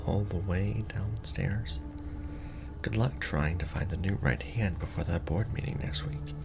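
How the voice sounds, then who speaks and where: A man playing a jaded, sardonic manager at a secret society in an ASMR roleplay, speaking in a dry, world-weary deadpan. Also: low-pitched, a little slow, gravelly, over music. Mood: harried, exasperated. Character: jaded, sardonic male Illuminati manager briefing a new recruit.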